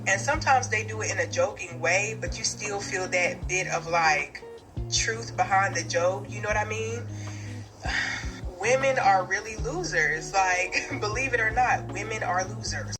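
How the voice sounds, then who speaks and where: A woman's voice: medium pitch, measured pace, music in the background. A woman giving her opinion in a casual monologue, blunt and emphatic, repeating her points. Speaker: video creator.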